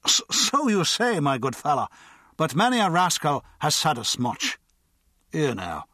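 A man's voice: fairly high pitch, wildly swooping pitch, measured pace, sharp tone, no room sound, clean studio sound, pitched above the performer's own voice, heavily acted. A man voicing a blustering, red-bearded drunk with a Dublin accent, slurring pompously and hiccuping. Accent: Irish, Dublin.

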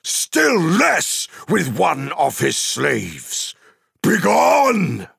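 A man voicing a stern, defiant wizard in a fantasy story, declaiming with forceful, indignant authority. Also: on the low side, sing-song, takes it slowly, vocal fry, no room sound, studio quality, pitched above the performer's own voice, exaggerated voice. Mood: defiant wrath.

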